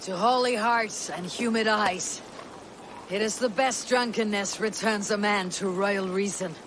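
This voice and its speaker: A man's voice: high-pitched, fairly even intonation, measured pace. A man acting a bold, good-humoured Viking warrior in a dramatic game cutscene. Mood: hearty. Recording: clear outdoor ambience noise.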